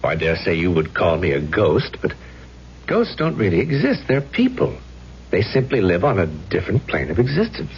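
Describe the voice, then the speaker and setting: A ghostly man speaks very low with a gravelly rasp. He is knowing and intimate, measured in the manner of 1970s radio drama. The old recording is dull, with clear noise behind him. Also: wildly swooping pitch, exaggerated voice. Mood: calm, patronizing. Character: ghostly man Morley, calm, knowing, coaxing a woman.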